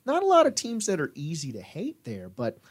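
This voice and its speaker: A man's voice: high-pitched, huge pitch swings, fairly quick. A man hosting a casual sports talk show in a jokey, chatty way. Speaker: podcast host.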